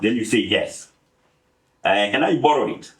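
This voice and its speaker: A man in his seventies from Kenya, giving a relaxed, humorous account of his career with many pauses. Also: fairly low pitch, echoey room. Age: 70s. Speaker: guest speaker. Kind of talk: relaxed storytelling talk.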